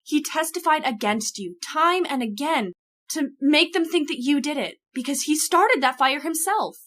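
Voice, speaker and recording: Female actor as nervous, distrustful friend, high voice, urgent delivery for a radio chiller. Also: lively intonation, normal speed, fairly bright tone. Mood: accusing. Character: anxious, suspicious female friend in a horror thriller. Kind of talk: old-time radio horror acting.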